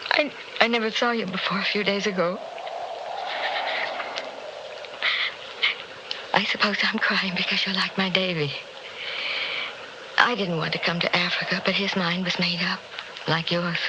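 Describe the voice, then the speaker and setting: An actress playing an anguished, pleading widow in a 1960s radio drama, speaking with emotional, breathy urgency. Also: female voice, on the low side, fairly even intonation, normal speed, natural voice. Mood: sorrowful. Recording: noisy old radio recording.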